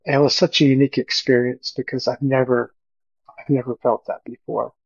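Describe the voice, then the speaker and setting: A middle-aged man with a gravelly, creaky voice gives a personal testimony as a podcast guest. He speaks earnestly and haltingly, circling back over his phrases. The recording is dry and close, with a slightly muffled top end. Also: mid-range voice, level tone, dry recording.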